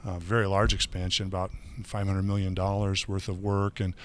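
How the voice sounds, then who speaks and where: A man's voice: low voice, flat delivery, brisk, slight background noise. A middle-aged man from Michigan, a guest in a casual interview, talking chattily with many fillers and restarts.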